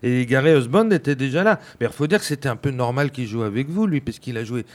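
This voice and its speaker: A male interviewer who asks questions in a friendly way and keeps agreeing with quick interjections. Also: mid-range voice, animated. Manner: eager, frequent agreeing interjections.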